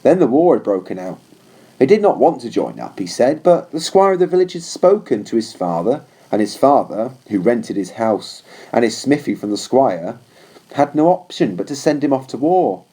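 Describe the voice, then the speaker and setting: Male audiobook reader, mellow tone, lively intonation, scripted narration. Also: medium pitch, moderate pace, slightly rough.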